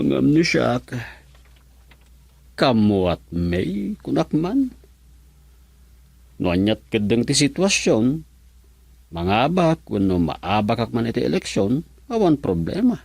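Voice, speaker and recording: An actor with an Ilocano Filipino accent playing a chatty, curious village official in a radio comedy drama. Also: male voice, mid-range voice, vocal fry, darkish tone, exaggerated voice. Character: village official, chatty curious man, son-in-law of the chairman.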